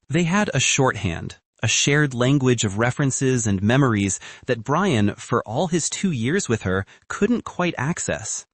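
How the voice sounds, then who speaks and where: A man narrates a scripted story in a mid-range voice. His intonation is lively and his tone a little husky. The recording is dry and close. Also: medium pitch, no echo.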